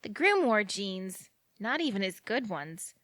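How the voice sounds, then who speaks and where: A young small-town woman in an audio drama, dreamy and earnest. She chats freely with a defiant romantic streak, at a middling pitch. The sound is dry, with no room around it. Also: sing-song, a little slow, a bit bright, no echo. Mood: dreamy, proud. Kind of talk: naturalistic audio drama dialogue.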